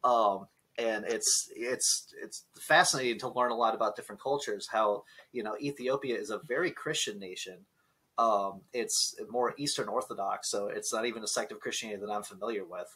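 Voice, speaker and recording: A young man with a husky, bright edge to his voice holds forth on a casual podcast. He is blunt and profane, and he lectures with strong opinions. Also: fairly low pitch, moderate pace, low-quality recording. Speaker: podcast co-host.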